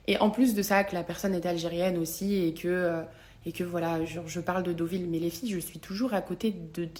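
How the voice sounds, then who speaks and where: A woman's voice: lowish voice, a little mellow. A young adult woman, talking casually and confessionally to her followers in slangy, emphatic speech, repeating words for stress. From Algerian family. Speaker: social media vlogger.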